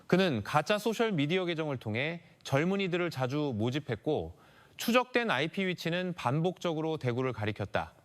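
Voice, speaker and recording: High-pitched, animated male story narrator. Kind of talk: scripted dramatic narration.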